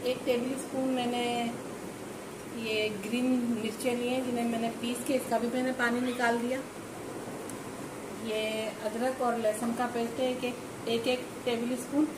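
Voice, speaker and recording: Higher-voiced woman explaining a home recipe. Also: moderate pace. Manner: step-by-step explaining, repeats herself, calm instructive. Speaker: cooking channel host. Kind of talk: home cooking demonstration. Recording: echoey room with background noise.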